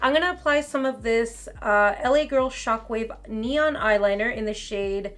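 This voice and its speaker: A young woman with a slightly raspy voice, chatting casually as she tries on and reviews makeup at home. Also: fairly high pitch, moderate pace, a bit bright. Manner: chatty, casual commentary while applying makeup. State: raspy voice from talking too much. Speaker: beauty vlogger. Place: home beauty room, indoors.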